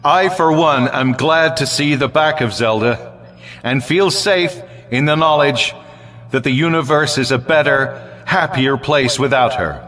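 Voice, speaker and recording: An actor playing an upright, stern human hero officer, speaking with measured solemnity in an audio drama. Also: male voice, a little high, a bit bright, in a large hall. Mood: solemn, scathing. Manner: measured, solemn speech-making. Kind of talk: full-cast audio drama acting.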